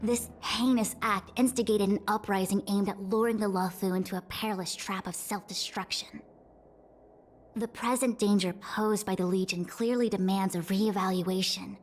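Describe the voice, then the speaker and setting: A woman voicing a dignified, authoritative young diviner and acting general in a video game, speaking formally and with measured eloquence. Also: medium pitch, fairly even intonation, close to an everyday voice. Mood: grave, analytical. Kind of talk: video game character dialogue.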